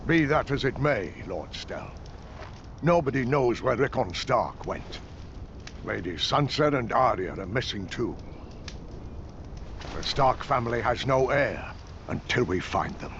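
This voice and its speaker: A man's voice: medium pitch, expressive, a little slow, long pauses, fairly bright tone, lo-fi sound, slight background noise. A male actor playing a courteous, sober noble counsellor who speaks formally and reasonably in a fantasy game.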